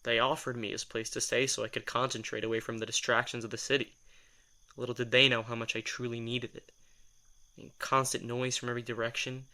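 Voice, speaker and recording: A man's voice: medium pitch, level tone, brisk, sharp tone. A man acting in an audio drama as a sardonic, exasperated assistant who reads minds, talking in a wry, defensive, rambling conversational way. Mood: matter-of-fact. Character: sardonic, exasperated male telepath, former personal assistant to a writer.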